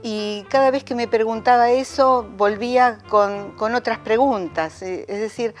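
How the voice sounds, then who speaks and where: A middle-aged woman, a theatre director, speaking in a reflective interview with thoughtful, searching pauses. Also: mid-range voice.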